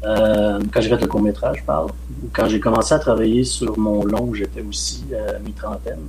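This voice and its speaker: A man in his late forties with a Québécois French accent, a film director talking in a relaxed, rambling way as a podcast guest. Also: lowish voice, normal speed, slight background noise, poor audio. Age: late 40s. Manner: relaxed, rambling, frequent "euh" and "mettons". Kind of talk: casual podcast interview.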